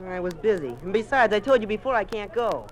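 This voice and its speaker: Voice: mid-range voice, animated, brisk, lightly acted, low-quality recording. An American performer playing a sulky, defensive schoolboy in a 1950s radio drama. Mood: sullen, defensive. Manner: defensive, sullen. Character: sulky, touchy schoolboy, kept from joining friends.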